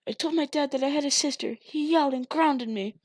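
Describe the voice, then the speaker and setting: A woman's voice: sits high, fairly quick, dry recording, pitched above the performer's own voice, natural voice. A woman voicing a young boy who talks in a whiny, childlike way, recorded dry. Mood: upset. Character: young boy, sulky and easily upset.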